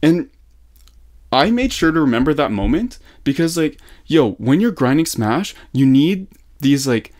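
A man's voice: on the high side, sing-song, unhurried, a little mellow. A young man coaching casually on a call, slangy and encouraging, with emphatic repetition, at a desk mic in a small room at home. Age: young adult. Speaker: gaming coach. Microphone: desktop condenser mic on desk.

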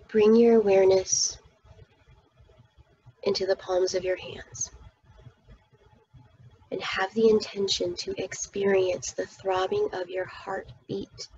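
Slow-speaking woman guiding a meditation. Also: mid-range voice, warm tone, muffled recording, slight background noise. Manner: soothing, often dropping to a breathy whisper. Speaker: guided meditation teacher. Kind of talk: guided meditation narration.

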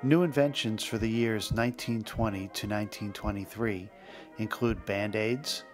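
A man's voice: on the low side, fairly even intonation, normal speed. A man narrating a scripted history podcast as its host, with music playing behind him. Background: background music.

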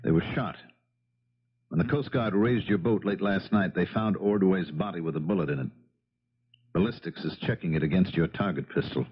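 Steady police detective, deep n raspy, old dull radio drama sound. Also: male voice, moderate pace. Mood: probing, grave. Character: steady, probing male police investigator. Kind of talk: 1970s radio drama acting. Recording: dull vintage radio recording.

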